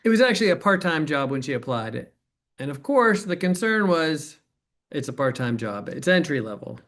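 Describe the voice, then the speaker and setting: A man's voice: a little high, big pitch swings, normal speed, a bit bright. Man playing supportive, explanatory center director. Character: supportive male university center director praising colleague. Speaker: performer portraying a center director. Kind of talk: dramatized interview narrative, conversational.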